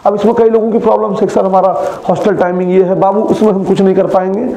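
A man's voice: high-pitched, level tone, fast, creaky, dark tone, roomy sound, rough recording. A young man, a coaching teacher, chatting casually to his students and speaking to them directly, filmed indoors in front of a screen.

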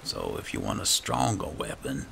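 A man's voice: lowish voice, huge pitch swings, normal speed, gravelly, sharp tone, slight background noise. A man giving casual roleplay commentary over a video game, musing and thinking aloud. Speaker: gaming video host.

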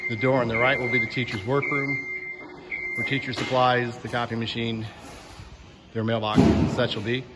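A man's voice: mid-range voice, level tone, normal speed, long pauses. A man giving an unscripted, matter-of-fact walking tour as a school superintendent, explaining things as he goes, recorded on a handheld phone indoors. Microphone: handheld phone held by the speaker while filming. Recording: loud construction site noise. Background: loud building-site noise.

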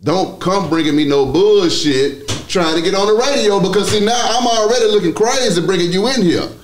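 A man's voice: high-pitched, fairly quick, a little hiss or hum. A man around his forties with a Southern US accent, telling stories as a radio DJ in a podcast interview, casual and slangy, on a close studio mic. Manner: casual, slangy, frequent swearing. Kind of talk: animated podcast storytelling.

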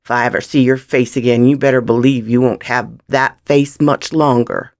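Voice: mid-range voice, unhurried, dark tone, no echo, studio quality, lightly acted. A reader as a threatening western gunslinger. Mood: furious. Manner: threatening, cursing, sardonic. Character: gruff, threatening, hard-drinking male gunslinger of the Old West.

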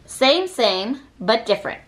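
A woman reading a children's picture book aloud with expressive, deliberate phrasing. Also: a little high. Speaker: read-aloud reader.

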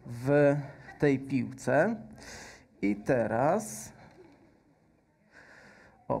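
A man in his thirties doing a stage science demonstration, explaining slowly with many fillers and adding a faith message, on a headset mic. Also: on the high side, a little mellow. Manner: slow, patient explaining with frequent fillers. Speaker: science presenter. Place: large stage with audience hall.

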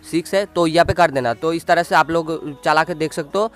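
Casting: young male tutorial host, fairly high voice, casual and halting, music underneath. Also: a bit bright. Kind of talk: casual screen-recorded how-to narration.

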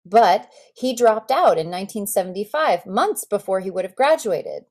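A woman in her forties reads a memoir as an actor would, with expressive, deliberate phrasing and dramatic pauses, recorded indoors on a video call. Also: mid-range voice. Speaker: actor reading a memoir excerpt. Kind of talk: dramatic literary reading, narrative storytelling.

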